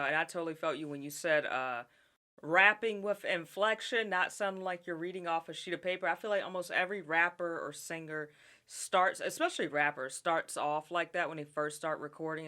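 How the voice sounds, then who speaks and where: A man's voice: a little high, moderate pace, clean tone. A man interviewing a rapper, chatting casually and agreeably about making music. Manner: animated, agreeable, lots of "mm-hmm".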